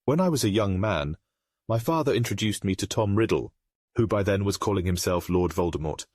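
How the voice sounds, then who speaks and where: A man playing a grave, remorseful aristocratic father in a comic dub, speaking in a formal, measured way. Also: lowish voice, animated, a little mellow, no echo, studio quality. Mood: solemn, remorseful.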